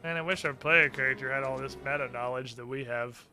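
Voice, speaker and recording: Bright, sharp-voiced sarcastic man streaming a game. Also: mid-range voice, a little fast. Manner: sarcastic, profane. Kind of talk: casual game stream banter, reading game text aloud. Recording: game music under the voice.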